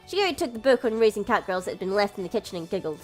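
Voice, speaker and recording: A woman's voice: medium pitch, sing-song, rapid-fire, faint music behind. A woman hosting a gaming video, reading a visual novel aloud and adding casual commentary.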